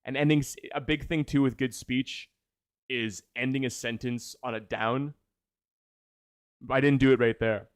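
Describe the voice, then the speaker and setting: A man's voice: medium pitch, fairly even intonation, a little slow, pauses often, clean tone, no room sound, clean studio sound. A man who makes video essays chatting casually on a livestream in an enthused, rambling way, often correcting himself. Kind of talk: casual livestream chat with viewers.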